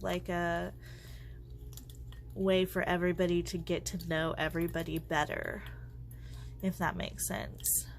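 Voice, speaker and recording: A woman's voice: mid-range voice, slight background noise. A congested woman chatting casually and rambling as she crafts at home, her thoughts often trailing off. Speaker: planner vlogger. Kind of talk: casual chat while crafting.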